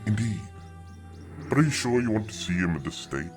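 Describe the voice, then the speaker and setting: A very low, stately male dragon, acted by a man, speaks with courtly formality and gruff, teasing loyalty. It is fantasy audio drama, with prominent music underneath the voice. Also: animated, brisk, lightly acted. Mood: measured, courteous.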